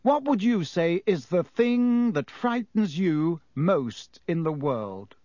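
A calm, kindly middle-aged professor, as a male audiobook reader puts him on, guides his class in a patient, encouraging way. The voice sits a little high and moves a lot in pitch. The recording is dry and close. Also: slow, no room sound, exaggerated voice. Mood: patient teaching. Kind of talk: audiobook character voice.

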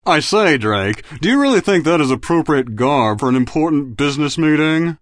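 A man's voice: fairly high pitch, big pitch swings, moderate pace, no echo, pitched above the performer's own voice. A man voicing a stuffy, proper male bank executive in an audiobook. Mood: incredulous. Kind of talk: audiobook character acting.

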